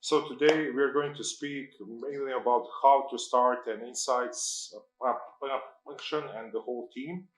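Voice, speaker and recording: A man's voice: mid-range voice, steady tone, a little slow, few pauses, echoey room, low-quality recording. A man hosting a live industry talk who stammers, repeats words, and fills his questions with "like". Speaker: event host, interviewer. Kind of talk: live panel interview.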